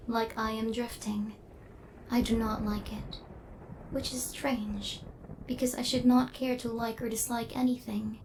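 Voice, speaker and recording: A woman plays a female killer drone in an ASMR roleplay, with a slightly high, very even voice. Her phrasing is stilted, literal and clinical. She is stern yet softening, and there is faint noise behind her. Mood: soft, tentative.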